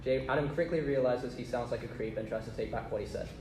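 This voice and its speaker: Fast-reading male narrator in an echoey room. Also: medium pitch, a little mellow. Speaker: narrator reading stage directions. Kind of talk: scripted narration at a table read. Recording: roomy distant mic, clear background noise.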